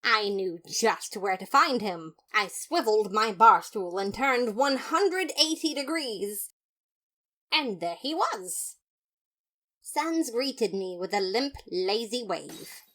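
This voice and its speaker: A woman's voice: medium pitch, animated, takes it slowly, a bit bright. A woman narrating a first-person comic detective story in a dramatic, mock-serious manner. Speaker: fan fiction narrator.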